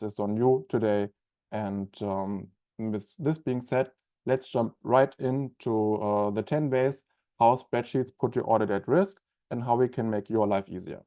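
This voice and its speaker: A man's voice: medium pitch, mellow. A young man with a German accent, presenting a webinar in a loose, conversational way with many ums and uhs. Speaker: onboarding specialist presenting a webinar.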